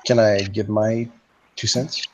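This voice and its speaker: A man's voice: on the low side, flat delivery, slow pace, highs cut off, a little hiss or hum. A man moderating an online voice-chat discussion, explaining in long philosophical sentences.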